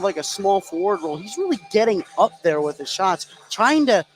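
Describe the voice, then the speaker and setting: A man's voice: sits high, low-quality recording. An American man giving informal, explanatory color commentary during a live basketball broadcast. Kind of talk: live sports analysis, conversational. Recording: light gym background noise. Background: gymnasium ambience.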